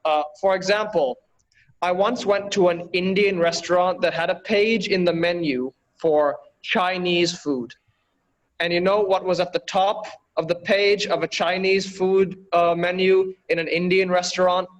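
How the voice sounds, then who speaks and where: A man from Bangladesh doing a stand-up set over a video call, delivering jokes in a dry, deadpan way. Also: sits high, unhurried, rough recording. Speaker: stand-up comedian.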